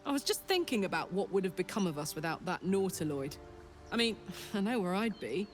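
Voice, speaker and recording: A woman's voice: medium pitch, very animated, a little fast, soft background music, lightly acted. A woman playing a brash, hearty tiefling warrior in a video game, with a blunt, sweary, exuberant manner. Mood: reflective. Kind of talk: video game character dialogue.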